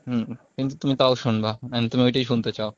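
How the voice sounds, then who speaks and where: Quick, coaxing Bangladeshi man on phone. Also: mid-range voice, level tone, clean tone. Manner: coaxing, repetitive. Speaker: caller. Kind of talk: private phone conversation mixing in English words. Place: recorded phone call. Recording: muffled phone call recording.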